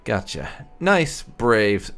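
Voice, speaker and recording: A man commentates casually on his own roleplay game session. His voice is fairly low with plenty of fry, and his intonation is lively. He muses through choices with wry, sarcastic asides. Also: extremely slow, faint music behind. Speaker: gamer, host of a roleplay playthrough.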